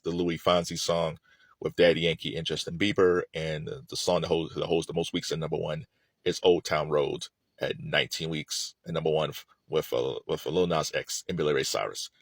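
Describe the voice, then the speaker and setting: A man's voice: sits low, no echo. A middle-aged man giving casual, opinionated music commentary in a conversational way, repeating phrases for emphasis.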